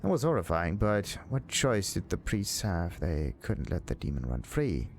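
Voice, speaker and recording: A man giving casual game commentary as a let's play host, thinking aloud in a halting, puzzled way. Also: low-pitched, big pitch swings, creaky. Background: game music.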